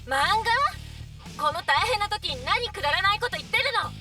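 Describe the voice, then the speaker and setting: An actress playing an excitable, concerned female ally in a Japanese audio drama. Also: extremely high pitch, brisk, distant mic, soft background music, full character voice. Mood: worried, exasperated.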